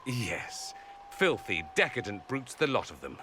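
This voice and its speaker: An actor playing a haughty, sarcastic mage in a video game, theatrical and sneering. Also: male voice, mid-range voice, big pitch swings, a little slow, bright, forceful tone, slight background noise. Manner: drawling sarcasm.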